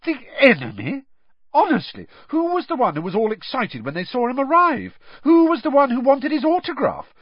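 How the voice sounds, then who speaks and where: A man voicing an indignant, bookish teenage girl who talks fast and shrilly when outraged. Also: very high voice, wildly swooping pitch, slightly rough, no room sound, dull audio, voice pushed up, full character voice. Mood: indignant, shrill.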